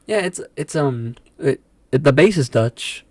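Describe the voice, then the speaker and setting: A man's voice: medium pitch, animated, measured pace, no room sound. A young man from England whose first language is Afrikaans, speaking as a podcast guest in a rambling way with many hesitations. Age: young adult. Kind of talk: casual podcast interview.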